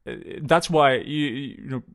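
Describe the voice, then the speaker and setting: A man in casual conversation, telling stories in a hesitant, relaxed way, with many fillers and restarts. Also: fairly high pitch, moderate pace. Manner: storytelling, relaxed.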